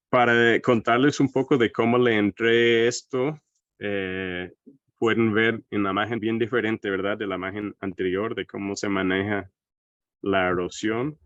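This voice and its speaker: A man's voice: fairly low pitch, fairly bright tone, dry recording. American man lecturing in Spanish over a call. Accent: American English. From United States. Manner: thoughtful, often tags phrases with a questioning aside. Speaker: seminar speaker. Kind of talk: online lecture presentation. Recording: dry, dull lo-fi call audio.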